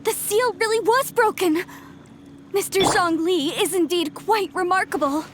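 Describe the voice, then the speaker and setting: A woman's voice: extremely high pitch, unhurried, background noise. An actress voicing an earnest, principled young female archaeologist. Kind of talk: video game voice acting. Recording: game audio with faint music.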